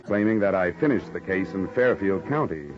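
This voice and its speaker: A low-pitched, hard-boiled American investigator tells his case like a noir radio serial. His delivery is clipped and sardonic, tossing off dry wisecracks. The sound is a dulled old broadcast, with music clearly underneath. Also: expressive, moderate pace. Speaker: lead actor and narrator. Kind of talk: old-time radio noir detective acting. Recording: muffled old radio recording, music behind.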